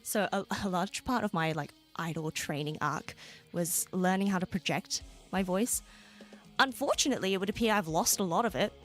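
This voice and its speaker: A young Australian woman streamer chatting casually with viewers, laughing often and jumping into high squeals. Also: moderate pace. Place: home room. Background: soft background music.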